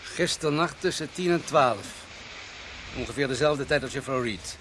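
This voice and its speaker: A matter-of-fact police doctor acted by a Dutch man in a radio drama. His voice is medium in pitch and measured in manner. Noticeable noise runs behind him. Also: lively intonation, close to an everyday voice. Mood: measured, probing.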